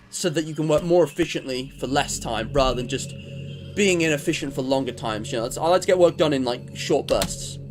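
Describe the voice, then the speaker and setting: A young male gamer streams live in a rambling, chatty way, cracking jokes at his own expense. His voice sits a little high. Clear game music runs behind him. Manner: rambling, chatty, self-mocking. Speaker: gaming streamer. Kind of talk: casual live-stream chat.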